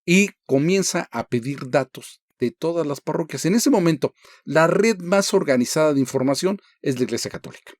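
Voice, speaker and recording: A man's voice: a little high, expressive, moderate pace, darkish tone, no echo, studio quality. A man giving an informal lecture, asking rhetorical questions and checking in with his listeners. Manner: conversational, asks rhetorical questions, frequent "¿sí?" and "¿no?". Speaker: lecturer on construction history. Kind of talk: informal history lecture with slides.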